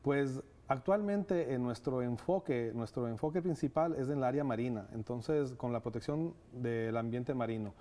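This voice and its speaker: Ecuadorian man in his thirties, mellow. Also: medium pitch, normal speed, hardly any pauses. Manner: earnest, many "eh" fillers. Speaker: guest, nonprofit director. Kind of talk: studio interview. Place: TV studio set. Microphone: lapel mic.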